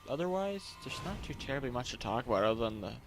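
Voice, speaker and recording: Gravelly man casually reviewing a game. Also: medium pitch, very animated. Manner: rambling, halting, unscripted. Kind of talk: casual gameplay commentary. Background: game cutscene audio and music.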